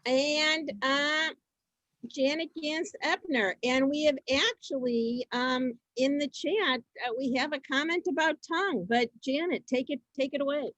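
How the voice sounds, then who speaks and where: A woman informally hosting a group reading, introducing people in a halting, casual way. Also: sits high, a bit bright, no room sound, low-quality recording. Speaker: host introducing each reader. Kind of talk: informal event moderating.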